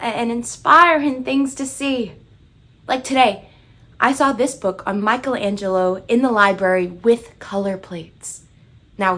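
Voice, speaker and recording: Earnest teenage girl, played by a woman, devout, reverent, halting, slow, higher pitch, dramatic monologue. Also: sharp tone, exaggerated voice. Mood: dismayed.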